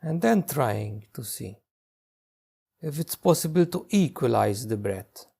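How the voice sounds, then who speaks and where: A man leading a guided meditation as a teacher, giving gentle, unhurried instructions with long pauses. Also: mid-range voice, animated, gravelly, husky.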